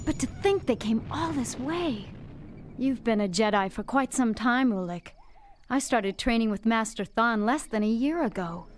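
A woman's voice: fairly high pitch, animated, moderate pace, slight background noise, close to an everyday voice. An actress playing an earnest, caring young female Jedi apprentice in an audio drama. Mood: eager.

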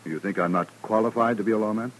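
A man's voice: on the low side, fairly even intonation, fast, a little hiss or hum, close to an everyday voice. A man acting in a 1950s radio western as an earnest, self-assured former army officer, eager, insistent and formal in manner. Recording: vintage old-time radio recording.

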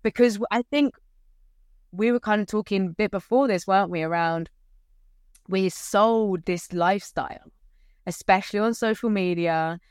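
A woman coach talks in a relaxed, conversational way, her voice on the deep side. She gets animated when she retells stories, and the recording is close and dry. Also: moderate pace, smooth, dry recording. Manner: animated, acts out quotes with rising pitch. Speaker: communication coach, guest. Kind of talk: casual conversation.

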